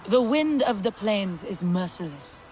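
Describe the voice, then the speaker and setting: A woman playing a proud, earnest rider of an alien plains people, with measured, formal phrasing in a video game drama. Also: mid-range voice, big pitch swings, a little mellow, a little hiss or hum. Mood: welcoming.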